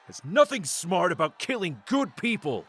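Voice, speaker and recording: An actor playing a righteous, hot-tempered guardian warrior, stern and urgent, in a fantasy audio drama. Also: male voice, high voice, sing-song, a bit bright, breathy edge, exaggerated voice. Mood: stern anger. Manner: stern, urgent, quick to anger.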